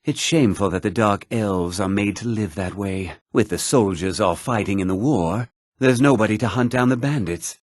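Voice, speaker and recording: An actor voicing a haughty, gossipy, well-to-do townsman in a fantasy video game, speaking in a measured, theatrical way with a husky voice. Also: on the low side, no echo, clean studio sound, natural voice. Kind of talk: scripted fantasy game character dialogue.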